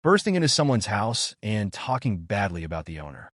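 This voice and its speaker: Man as a weary young mage, restrained, varied pitch. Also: very animated, fairly quick, no echo, clean studio sound. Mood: brooding. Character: composed, weary young male amber-eyed mage.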